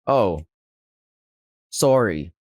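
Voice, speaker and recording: A man's voice: fairly low pitch, lively intonation, drawn-out words, a little mellow, no room sound, studio quality, pitched below the performer's own voice. A man voicing a timid, nervous young animal shop worker who stammers in panic. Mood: flustered.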